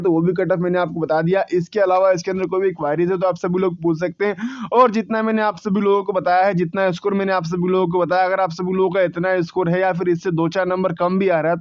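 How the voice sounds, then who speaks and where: Young guy w/ high voice talking thru exam cutoffs, lots of restarts, kinda muffled. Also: darkish tone, no room sound. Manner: conversational, frequent fillers and restarts, repeats figures. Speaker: exam coaching channel host. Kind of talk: informal exam analysis talk to viewers.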